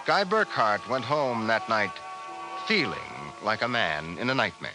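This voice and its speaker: Casting: narrator for vintage sci-fi radio drama, ominous, animated intonation over prominent music. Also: male voice, on the low side, a little slow, poor audio. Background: loud dramatic music.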